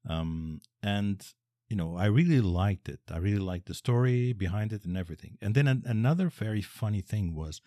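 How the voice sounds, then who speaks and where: A man's voice: on the low side, lively intonation, warm tone, dry recording, clean studio sound. A man from Portugal with a Portuguese accent, speaking English as a second language in casual conversation, restarting his sentences often. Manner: halting, many restarts.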